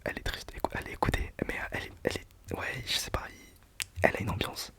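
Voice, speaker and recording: A man's voice: quick pace, bright, forceful tone. A young man whispering a casual, self-mocking countdown of his favourite songs for his channel. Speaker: channel host. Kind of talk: whispered ASMR music countdown.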